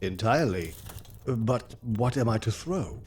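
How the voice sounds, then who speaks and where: Low-voiced, earnest companion. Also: male voice, expressive, darkish tone, a little hiss or hum. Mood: attentive, neutral. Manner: measured, storytelling. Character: loyal, earnest gentleman doctor, detective's companion and narrator. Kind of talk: audio drama narration and dialogue.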